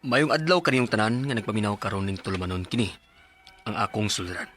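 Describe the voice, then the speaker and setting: An actor with a Cebuano accent playing a harried 33-year-old husband who narrates his own story in a 1990s radio drama and bursts into loud, high-pitched outbursts. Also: expressive, quick pace, faint music behind, close to an everyday voice. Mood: earnest. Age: 30s.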